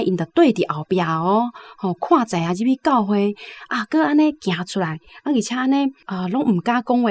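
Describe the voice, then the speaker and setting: A woman's voice: mid-range voice, darkish tone. Female radio commentator explaining a story, Taiwanese accent, mid-range voice, chatty phrasing with frequent tag particles. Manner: conversational, frequent sentence-ending particles. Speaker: literary commentator.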